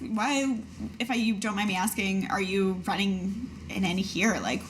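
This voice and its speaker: Sardonic young female adventurer for a tabletop game, played by a woman; casual, dry, mid-pitched, light background noise. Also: moderate pace, lightly acted.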